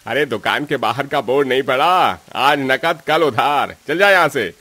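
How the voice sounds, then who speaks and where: A man's voice: medium pitch, lively intonation, normal speed, bright, forceful tone, no echo. A man playing a smug, stingy, pot-bellied grocer in a cartoon, gloating and dismissive. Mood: curt, dismissive.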